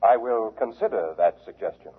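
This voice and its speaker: An actor playing a cold, pedantic robot testing machine in a 1950s radio drama, with formal, clinical, measured delivery. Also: male voice, fairly low pitch, lively intonation, soft background music, lightly acted. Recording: muffled, phone-like old radio broadcast.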